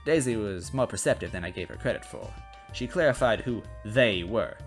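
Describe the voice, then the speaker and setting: A man giving an expressive, conversational first-person narration as he reads a story aloud. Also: lowish voice, normal speed, soft background music. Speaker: story reader.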